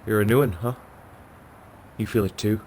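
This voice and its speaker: A man's voice: lowish voice, steady tone, normal speed, a little mellow, husky. A man playing a cryptic, sardonic stranger in an audio drama, terse and teasing. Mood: knowing, teasing. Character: cryptic, sardonic male stranger sharing a secret. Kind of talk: scripted audio drama acting. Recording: noisy background ambience.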